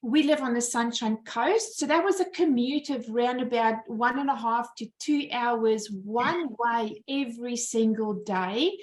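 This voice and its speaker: A woman's voice: a little high, measured pace, few pauses. A South African woman in her fifties, telling her personal story in a presentation over a video call. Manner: earnest storytelling with rhetorical questions. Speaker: business owner giving a presentation. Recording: roomy echo.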